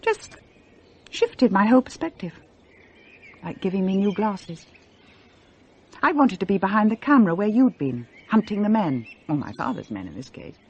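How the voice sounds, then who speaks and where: A woman's voice: mid-range voice, big pitch swings, normal speed, pauses often, a little mellow, slightly rough, dull audio. An actress playing a bored, rebellious upper-class married mother in a radio play, with an English accent and an articulate, self-mocking, theatrical manner. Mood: rapturous. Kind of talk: British radio play acting.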